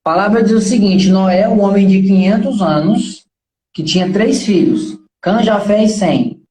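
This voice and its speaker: A man's voice: high-pitched, a little slow, mellow, echoey room, rough recording. A man in his thirties with a Brazilian accent, giving an informal motivational talk in an earnest, preachy and colloquial way, often posing rhetorical questions.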